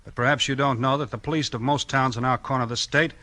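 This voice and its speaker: A man acting a hard-boiled, weary police chief in a vintage radio crime drama. The voice is forceful and bright, mid-pitched, with terse, bossy delivery. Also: fairly even intonation, fast, no echo, natural voice. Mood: guarded. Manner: terse, bossy, tough-talking.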